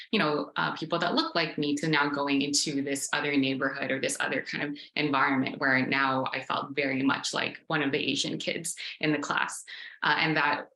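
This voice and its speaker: A woman in her thirties with a low voice and a bright, forceful edge, speaking in a casual interview. She is reflective, hedges often and restarts her sentences. The room around her is echoey and roomy. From Canada. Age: 30s. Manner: reflective, many fillers and restarts. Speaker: interviewee, business founder.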